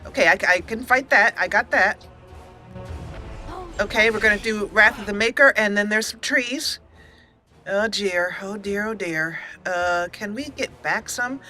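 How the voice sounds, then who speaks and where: A female let's play host talks casually through her game. Her voice is bright and medium in pitch, chatty and thinking aloud, with quiet music underneath. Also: unhurried. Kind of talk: casual gameplay commentary.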